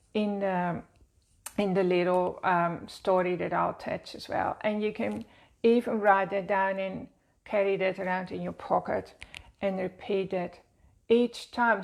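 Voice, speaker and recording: Woman, Dutch accent, gentle guided-meditation teacher, creaky fry, mid pitch. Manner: slow, gentle, soothing guidance. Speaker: wellness teacher. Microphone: seated at a desk, speaking to camera.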